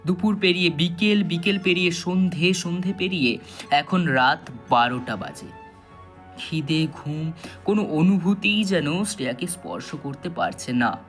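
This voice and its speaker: A man's voice: a little high, measured pace, a bit bright. A man narrating a scripted thriller story in a dramatic, suspenseful storytelling manner. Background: background music.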